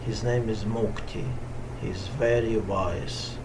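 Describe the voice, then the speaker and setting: A middle-aged man with a Russian accent talks casually to camera as a yoga teacher, meditative and halting as he searches for words. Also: on the low side, fairly even intonation. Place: small indoor room at home ashram. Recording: distant mic, clearly noisy room sound.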